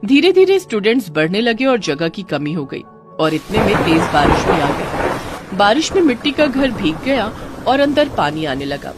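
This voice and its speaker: A woman's voice: mid-range voice, sing-song, normal speed, loud music behind the voice. Woman narrating a children's story.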